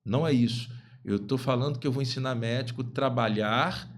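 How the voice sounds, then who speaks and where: A man's voice: mid-range voice, a little fast. A man in his fifties, a guest physician on a podcast, speaking emphatically and repeating key phrases. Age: 50s. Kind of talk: podcast interview talk.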